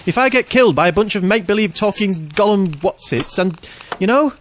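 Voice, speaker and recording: Awkward young bloke, highish and swingy, mutters and grumbles. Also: male voice, lively intonation, fairly quick, slight background noise. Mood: exasperated. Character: insecure, hesitant young man, grumbling and self-doubting. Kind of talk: naturalistic comic audio drama acting.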